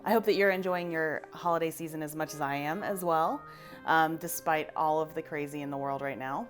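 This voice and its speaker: Chatty low-voiced woman vlogger. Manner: chatty, upbeat, self-deprecating. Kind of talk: casual get-ready-with-me vlog.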